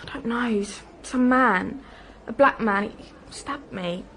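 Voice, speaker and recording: Shaken young woman, fairly high voice. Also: fairly bright tone, a little hiss or hum. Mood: shaken, halting. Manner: halting, apologetic, pleading. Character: shaken, anxious teenage or young daughter, crime victim. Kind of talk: naturalistic crime drama dialogue.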